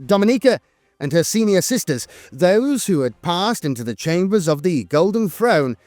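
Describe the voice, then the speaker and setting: A man narrating scripted lore in a solemn, theatrical, measured manner. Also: high voice, sing-song, a little mellow, dry recording, clean studio sound. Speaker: narrator and host of a lore channel.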